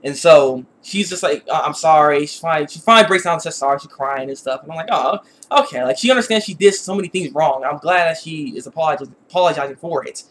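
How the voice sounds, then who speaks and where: A young man hosting a casual online episode review, talking in an excitable, slangy, rambling way and repeating himself. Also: a little high, fast talker, hardly any pauses, slight background noise. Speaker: YouTube reviewer and host.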